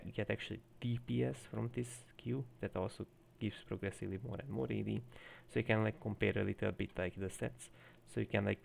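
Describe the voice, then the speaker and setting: Gravelly Hungarian man coaching. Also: on the low side, a little mellow, slight background noise. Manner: explanatory, many fillers like "you know". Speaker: game coach. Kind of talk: live-streamed coaching session.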